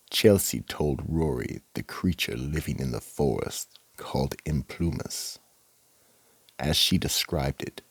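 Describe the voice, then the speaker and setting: A man playing a sinister, menacing horror storyteller with a gravelly, husky voice, narrating a radio drama theatrically. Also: rumbling low, no echo, full character voice. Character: sinister psychotic horror storyteller, keeper of the vault. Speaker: host and narrator.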